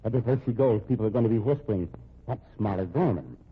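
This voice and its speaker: A tinny, narrow old radio recording of a stern, respectable husband. He talks quickly in a rough, husky voice on the low side. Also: animated. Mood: tense, pleading, sarcastic. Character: stern, accusing, respectable husband standing up to threats. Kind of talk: old-time radio drama acting.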